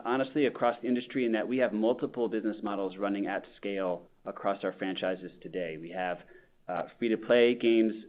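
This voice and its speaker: Low-voiced man on a tinny conference line. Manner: measured, corporate, frequent "uh" and "um". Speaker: company executive. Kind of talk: answering an analyst on an earnings call.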